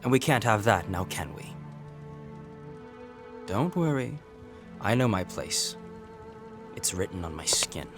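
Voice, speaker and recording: A man's voice: on the low side, very animated, takes it slowly, long pauses, full character voice. A man acting a sardonic, resentful sailor in a Regency audio drama, with a mocking, needling manner. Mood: mocking, bitter. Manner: mocking, sarcastic. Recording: faint music behind the voice.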